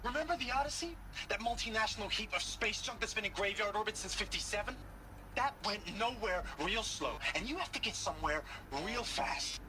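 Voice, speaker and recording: A man's voice: high-pitched, lively intonation, fairly quick, background noise. An actor playing an agitated, sarcastic, incredulous male scientist in a video game cutscene, speaking emphatically. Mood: agitated, insistent.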